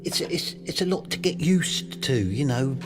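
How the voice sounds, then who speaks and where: An actor with a British accent playing an awkward, evasive ordinary bloke who speaks hesitantly in a comic audio drama. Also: male voice, on the high side, expressive, normal speed, music in the background, natural voice. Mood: flustered backpedalling. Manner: hesitant, stammering.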